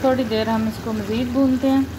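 A woman, calmly explaining each cooking step as a recipe voice-over. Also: on the high side, fairly quick, background noise. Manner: calm, instructive, points things out to viewer. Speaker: cooking channel host. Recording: loud frying sizzle behind voice.

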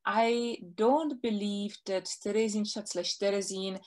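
A woman's voice: medium pitch, steady tone, measured pace, darkish tone. A woman in her forties with a Czech accent, a historian talking thoughtfully as the guest in a webinar interview over a video call from a home study. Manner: thoughtful, articulate, gestures while talking.

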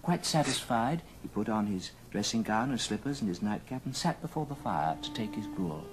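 A man narrating a story dramatically, with deliberate pauses, in old radio drama style. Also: lowish voice, lively intonation, background noise.

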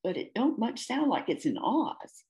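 A woman voicing a kindly old male sea captain in a storybook, with gentle, folksy phrasing. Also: on the high side, big pitch swings, unhurried, voice pushed up. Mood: folksy, friendly.

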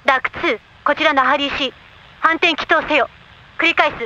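An actress voicing a ground controller giving firm orders over a dull radio line. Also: female voice, high voice, steady tone, quick pace, bright, forceful tone, slight background noise.

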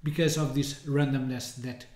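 A man in his thirties giving an informal, hesitant software demonstration, often rephrasing as he goes. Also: medium pitch, fairly even intonation, moderate pace, heavy background noise. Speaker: software tutorial presenter. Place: indoors, small room with window blinds. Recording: roomy, echoey indoor sound.

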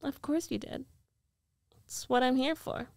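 A woman's voice: sits high, fairly even intonation, measured pace, vocal fry, dry recording. A woman playing a rich, possessive ex-girlfriend in an intimate ASMR roleplay, coaxing and self-assured with a condescending edge. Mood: possessive, insistent. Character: rich, domineering, possessive young ex-girlfriend coaxing her lover back. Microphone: close microphone, dry.

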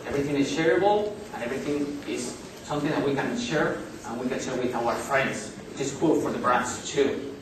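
A man's voice: medium pitch, noisy background. Spanish-accented man speaking in an echoey hall. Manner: halting, many fillers and restarts. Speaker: conference speaker. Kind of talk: business presentation. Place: large hotel conference hall. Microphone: far camera in the audience. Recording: echoey hall recorded from far off.

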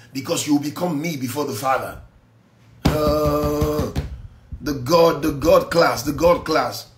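Slow, emphatic preaching man. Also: on the high side, reverberant. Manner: slow, emphatic preaching that swings from soft to loud. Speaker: pastor. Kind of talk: morning Bible teaching, reading and explaining verses. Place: small office room with blinds, indoors. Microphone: phone camera close up.

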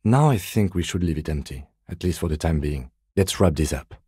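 A man playing a composed, meticulous police lieutenant detective in a video game, speaking in a precise, deadpan, politely reserved way. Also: rumbling low, brisk, breathy edge, no echo, studio quality, full character voice. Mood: dry, matter-of-fact. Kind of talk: video game character dialogue.

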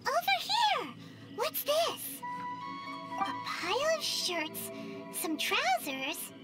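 Squeaky childlike fairy companion girl. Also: wildly swooping pitch, very slow, loud music behind the voice, heavily acted. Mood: inquisitive. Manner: exclaiming, wondering aloud in short questions. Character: small chirpy childlike floating fairy companion girl, curious. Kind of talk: video game voice acting.

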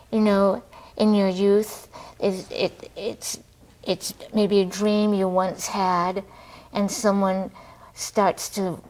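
A middle-aged woman chatting casually as an interview guest, talking in a halting way and circling back on her thoughts. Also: medium pitch, darkish tone, rough recording. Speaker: theater director, talk show guest. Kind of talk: casual studio interview chat. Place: TV studio, seated at a table.

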